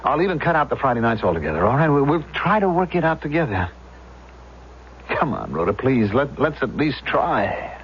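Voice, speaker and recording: An actor playing an evasive, weary, defensive husband in a radio drama, stammering and repeating himself. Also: male voice, medium pitch, very animated, moderate pace, lightly acted. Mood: pleading, weary. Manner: stammering, repeats words pleadingly. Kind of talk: 1970s radio drama acting. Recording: muffled vintage radio recording, faint hum.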